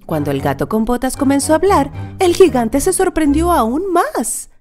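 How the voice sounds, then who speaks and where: A woman narrating a children's fairy tale in an expressive, dramatic storytelling manner. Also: high-pitched, moderate pace, faint music behind.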